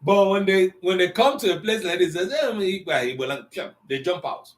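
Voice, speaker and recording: A man's voice: high-pitched, fairly quick. A middle-aged man teaching an Igbo language class online, lecturing in a conversational way that mixes English and Igbo.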